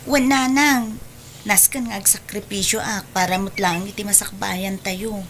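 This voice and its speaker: A woman's voice: medium pitch, fairly even intonation, unhurried, fairly bright tone, a little hiss or hum. A woman playing a dutiful, determined adult daughter, hopeful and reassuring, in a restrained audio drama. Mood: hopeful, resolute.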